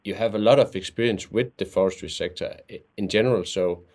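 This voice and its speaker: A man hosting a podcast interview, talking in halting phrases and repeating words as he goes. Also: fairly low pitch, a little mellow. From Denmark. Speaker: podcast host. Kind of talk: casual interview talk.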